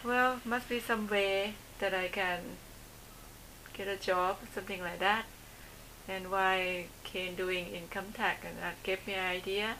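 Bright woman, halting English. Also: mid-range voice, fairly even intonation, a little hiss or hum, rough recording. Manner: simple, halting phrasing. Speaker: interviewee. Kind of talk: casual conversational interview.

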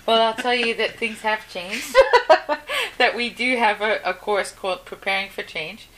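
Lady interviewing, bright voice, encouraging, faint hiss. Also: mid-range voice, moderate pace, rough recording. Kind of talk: casual conversational interview.

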